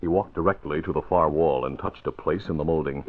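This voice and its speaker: A man's voice: a bass voice, brisk, very noisy. A man reading scripted lines as a narrator and announcer in 1940s radio style. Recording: narrow lo-fi old radio recording.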